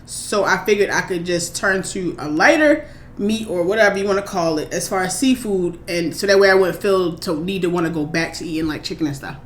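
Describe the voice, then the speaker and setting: A woman's voice: fairly low pitch, a little fast. A woman in her thirties from Maryland, chatting casually and rambling through viewer questions on her eating show. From Maryland, USA. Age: 30s. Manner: rambling, chatty, relaxed, with many fillers. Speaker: mukbang vlogger. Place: home. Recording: echoey room, faint background noise.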